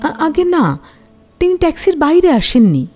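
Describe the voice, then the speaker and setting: A troubled wife questioned in a detective radio play. Her voice is high and swings widely in pitch as she haltingly searches her memory. Music plays softly beneath her. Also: very animated. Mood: subdued recollection. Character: troubled wife of a missing man, hesitant witness. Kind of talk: Bengali audio detective drama acting.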